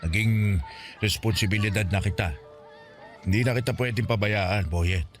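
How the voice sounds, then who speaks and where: A man's voice: lowish voice, moderate pace, soft background music, natural voice. Man acting a stern, caring older Filipino guardian. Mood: heartfelt, pleading. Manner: scolding, earnest, emotionally pleading. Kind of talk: Tagalog radio drama acting.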